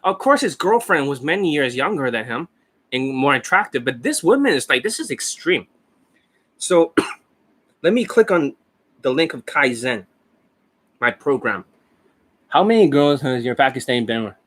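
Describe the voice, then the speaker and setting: A man coaching about dating who talks in a brash, profane, rambling way, hoarse and losing his voice, with coughs between lines. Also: medium pitch, big pitch swings, normal speed, pauses often, a bit bright. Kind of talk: casual monologue over a screen share. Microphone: desk microphone.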